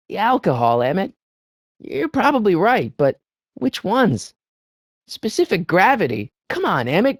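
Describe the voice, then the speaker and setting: A man's voice: no echo, studio quality. A young man with an American accent voicing an earnest, nervous teenage boy in a video game, youthful in tone and hesitant, slangy in delivery. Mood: eager, scheming. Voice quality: youthful, high-pitched for a man. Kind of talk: video game character dialogue.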